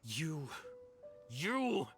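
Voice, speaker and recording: Flustered boastful game thief, highish and very animated, bit of music behind. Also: male voice, extremely slow, full character voice. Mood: flustered, indignant. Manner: exclamatory, indignant. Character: boastful, flustered thief caught red-handed. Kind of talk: anime video game voice acting.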